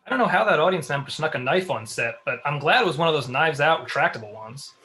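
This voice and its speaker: A man playing a blunt, deadpan show colleague in a comedy table read. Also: a little high, fast. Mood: casual.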